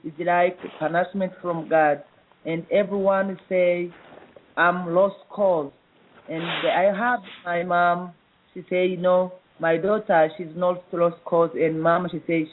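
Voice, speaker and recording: A middle-aged woman from DR Congo with a Congolese accent. She is a guest in an interview, answering in simple, halting phrases with frequent pauses. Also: lowish voice. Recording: tinny phone line.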